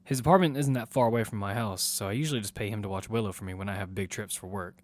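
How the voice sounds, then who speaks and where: A man's voice: on the low side, rapid-fire, lightly acted. A man playing a protective single father in an audio drama, both narrating and speaking in scenes. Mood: reflective narration. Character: Damian, protective single father of a six-year-old girl. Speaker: narrator and lead actor. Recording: loud music under the voice. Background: music.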